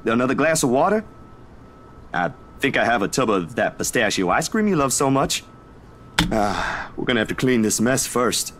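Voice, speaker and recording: A man playing a steady, protective friend in a video game, reassuring and firm, in short phrases. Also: medium pitch, normal speed, slight background noise. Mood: gentle.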